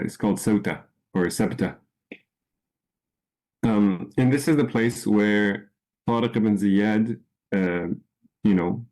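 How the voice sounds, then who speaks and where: Male lecturer from France, measured and halting, fairly low voice; walks a group through slides. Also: warm tone, low-quality recording. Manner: unhurried, with frequent ums and restarts. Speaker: presenter. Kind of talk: informal presentation.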